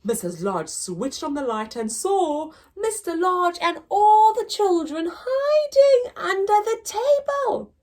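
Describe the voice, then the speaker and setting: A woman's voice: very high-pitched, very animated, slow, hardly any pauses, a little mellow. A woman reading a children's story aloud as a story-time teacher, dramatic and addressed to young listeners. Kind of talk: expressive children's read-aloud.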